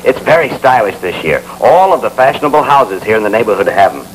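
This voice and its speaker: An actor playing a polite but tactless young telephone installer, deferential and placating, in an old training film. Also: male voice, on the low side, big pitch swings, quick pace. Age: young adult. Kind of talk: vintage training film acting. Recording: noisy old film soundtrack.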